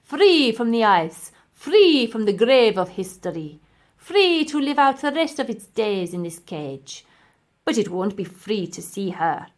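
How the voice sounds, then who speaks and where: A woman acts out a cold, gloating old professor from a children's storybook. The delivery is pompous and emphatic, slowly drawn out, with big swings in pitch as each point is pressed home. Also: sing-song. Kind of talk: children's audiobook character acting.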